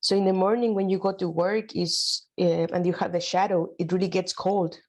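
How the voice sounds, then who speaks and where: A woman's voice: fairly low pitch, steady tone, moderate pace, darkish tone. Chatty woman from Mexico City. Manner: chatty, many fillers and laughs. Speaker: workshop participant. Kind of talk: casual chat.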